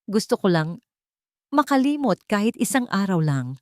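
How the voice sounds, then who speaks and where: A woman's voice: medium pitch, very animated, normal speed, a little mellow, no echo, clean studio sound, voice pushed up, natural voice. A woman voicing a guarded, wealthy older woman in an audio romance, restrained yet used to giving orders. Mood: soft, curious. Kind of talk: audio storybook dialogue.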